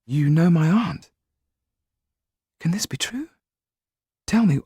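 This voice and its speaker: Male narrator as refined young gentlewoman, hesitant, wistful, whispery, lifted pitch, close dry storybook sound. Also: animated, slow, no echo, full character voice. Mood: startled, hopeful. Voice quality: soft, breathy, at times whispered. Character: delicate, anxious young gentlewoman, shy and wistful.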